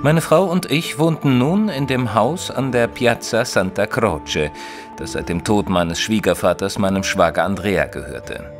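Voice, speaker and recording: An actor playing a nineteenth-century bookseller who tells his detective adventures in a measured, literary way. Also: fairly low pitch, animated. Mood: measured storytelling. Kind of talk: scripted first-person audio-drama narration. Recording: studio voice over light music.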